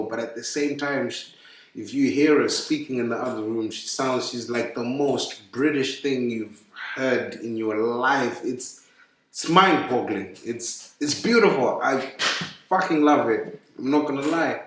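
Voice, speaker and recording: A young man from Zimbabwe, chatting casually and animatedly, swearing and stumbling over repeated words. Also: mid-range voice. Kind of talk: casual chat. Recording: very echoey room, far from mic.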